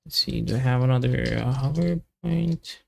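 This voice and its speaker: A man on a casual coding livestream, thinking aloud in halting, muttered fragments as he works. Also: mid-range voice, creaky. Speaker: developer live-streaming coding.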